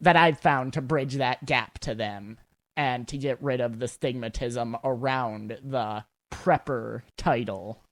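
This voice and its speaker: A woman's voice: rumbling low, slow. A woman taking part in a casual group discussion as one of the panel. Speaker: panel participant.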